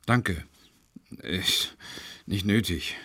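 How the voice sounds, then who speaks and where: An actor playing a reserved, weary male teacher who narrates his own story in a measured literary radio drama style. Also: sits low, bright, forceful tone, slightly rough, no echo, studio quality, heavily acted. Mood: reserved, curt. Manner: measured, terse in replies. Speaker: first-person narrator.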